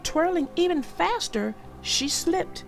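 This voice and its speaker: A woman's voice: very high voice, animated, takes it slowly, vocal fry, loud music behind the voice. A woman reading aloud for children as a storyteller, chatting directly to her young listeners in a conversational way.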